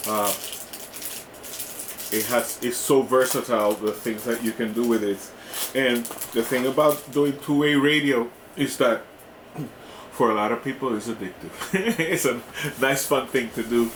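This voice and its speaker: A man giving a casual hands-on product demo in a halting way, often checking in with the listener. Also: medium pitch. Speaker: product reviewer. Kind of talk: casual hands-on unboxing demonstration. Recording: roomy echo with clear background noise.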